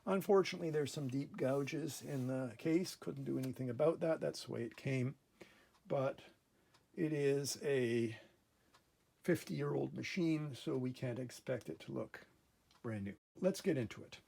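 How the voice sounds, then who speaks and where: A man hosting a casual hands-on repair demonstration at a workbench, explaining methodically step by step in a gravelly, husky voice. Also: mid-range voice, a little slow, darkish tone. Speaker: host of a repair channel. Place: indoor workbench in a workshop.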